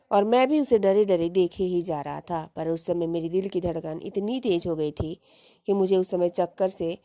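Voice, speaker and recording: A woman telling a first-person horror story, dramatic, rising with suspense and dropping to a hush in tense moments. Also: on the low side, no echo, clean studio sound. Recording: music bed under the voice.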